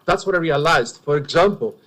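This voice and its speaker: A man with a heavy Greek accent, a native Greek speaker, gives witness testimony at an enquiry. His voice sits a little high. He speaks in an earnest, emphatic, confessional way. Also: lively intonation, brisk, fairly bright tone. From Greece.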